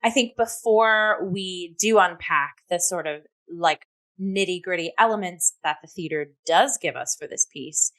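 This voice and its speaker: A woman's voice: on the low side, fairly bright tone, rough recording. Casual woman chatting through a review. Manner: informal, halting, full of "like". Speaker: talk show co-host and theatre reviewer.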